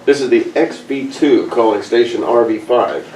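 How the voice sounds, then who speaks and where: A man reading the part of a gruff, bossy space ranger captain at an amateur table read. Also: medium pitch, normal speed, darkish tone, a little hiss or hum, lightly acted. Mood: businesslike. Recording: roomy, distant mic, group in background.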